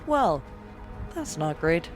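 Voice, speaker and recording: A woman's voice: lowish voice, very animated, slow. A woman playing a cocky, wry vigilante superhero with a theatrical delivery in an audio drama. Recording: music behind.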